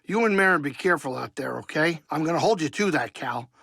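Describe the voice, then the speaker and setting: An aging alien starship pilot for a video game. His voice sits fairly high, with a bright, pushy edge and plenty of gravel. He is folksy and fussy, a wisecracking grumbler with a soft heart, recorded close and dry. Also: animated, fast talker, no room sound, full character voice. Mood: somber, concerned. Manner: folksy, wisecracking, fussy, colloquial streetwise talk. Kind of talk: video game character dialogue.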